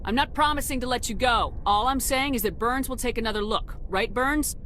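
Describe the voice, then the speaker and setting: An actress playing a composed military commander in a video game, negotiating calmly and firmly. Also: female voice, on the high side, brisk, slight background noise, lightly acted. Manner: calm, firm.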